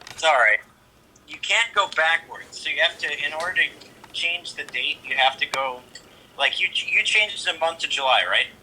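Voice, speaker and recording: A man's voice: on the high side, normal speed, poor audio. A man on a video call, casually and patiently guiding someone step by step. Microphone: video call heard through a phone speaker. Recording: sharp video call audio, faint noise.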